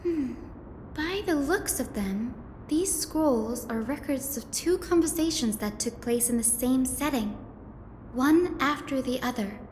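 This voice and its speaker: High-voiced, curious young scholar. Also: female voice, animated, unhurried, a little mellow, a little hiss or hum. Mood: explanatory. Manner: articulate, expository, musing. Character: young female scholar, curious, thoughtful, knowledgeable assistant lecturer. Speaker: assistant lecturer at a scholarly academy. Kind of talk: video game character dialogue. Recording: echoey, long reverb, music behind. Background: music.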